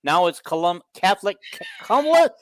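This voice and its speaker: A man's voice: sits high, big pitch swings, moderate pace, no echo. An American man co-hosting a casual sports chat. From Iowa, United States. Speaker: sports show co-host.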